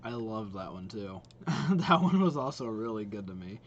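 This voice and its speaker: A man's voice: lowish voice, sing-song, normal speed, lo-fi sound, slight background noise. A young man hosting a game reaction video, giving casual, off-the-cuff commentary. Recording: faint game music behind voice. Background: game trailer music.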